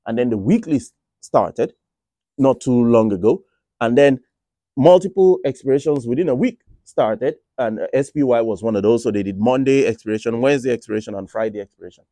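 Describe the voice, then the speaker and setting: Man, Nigerian accent, warm relaxed timbre, mid pitch, casual rambling talk, dry recording. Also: fairly quick, no room sound. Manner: rambling, many restarts and fillers. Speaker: finance vlogger. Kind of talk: casual monologue. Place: Republic of Ireland.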